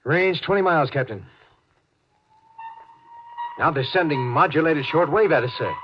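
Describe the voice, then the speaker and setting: A man's voice: a little high, normal speed, soft background music, natural voice. An actor playing a dutiful, anxious junior spaceship officer in a 1950s radio drama, reporting deferentially to his captain. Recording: noisy, dull 1950s radio recording.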